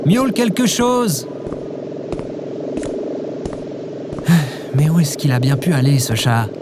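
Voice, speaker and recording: Actor as anxious, animated man over loud ambience. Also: a little high, normal speed, background noise. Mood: calling out, worried. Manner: sheepish, informal, animated. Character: anxious, well-meaning young man, fretful cat owner. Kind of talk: casual audio drama dialogue.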